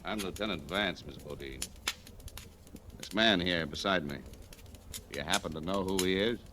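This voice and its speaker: A man's voice: sits low, moderate pace, natural voice. A man playing a tough, needling American police lieutenant in old-time radio drama style. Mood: sardonic, pressing. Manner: hard-boiled, needling. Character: tough, sardonic police lieutenant grilling a suspect. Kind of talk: 1940s-50s radio acting. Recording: noisy old radio broadcast recording.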